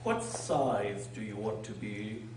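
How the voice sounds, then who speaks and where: A man's voice: lowish voice, lively intonation, slow pace, darkish tone. A man playing a curt, contrary caterpillar in a radio drama, speaking in a terse, pompous manner. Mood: stern. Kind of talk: amateur radio drama acting. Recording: echoey room, distant mic, noisy.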